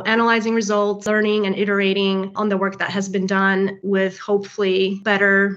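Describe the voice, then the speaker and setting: A mid-pitched woman in her thirties talks through her work in a steady, articulate, well-organized way. The audio is somewhat dull and lo-fi, like a modest podcast recording. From Poland. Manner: articulate, measured professional self-presentation. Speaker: guest. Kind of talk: podcast interview.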